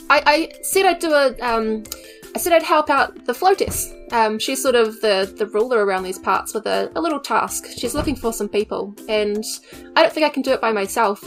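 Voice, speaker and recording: A woman's voice: a little high, natural voice. A woman playing a polite, unsure young quest-giver in a tabletop game, speaking in a hesitant, friendly way.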